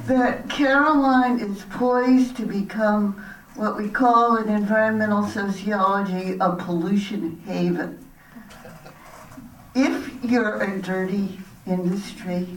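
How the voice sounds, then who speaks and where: A woman delivers prepared remarks to a public meeting at a slow, measured pace. Her voice carries through a reverberant hall, caught at a distance with faint noise behind it. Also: mid-range voice. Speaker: resident speaking at a town board meeting. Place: community center meeting hall.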